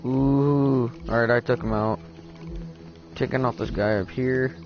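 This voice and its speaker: A deep-voiced teenage boy chatting casually with a friend while playing a game, laid-back and swearing a lot. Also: fairly even intonation, unhurried, lo-fi sound. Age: teen. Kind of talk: casual gaming chat.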